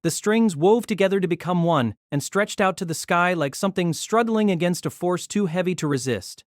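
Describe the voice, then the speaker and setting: A man narrating a novel aloud in an expressive storytelling manner. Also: sits high, normal speed, no echo, clean studio sound.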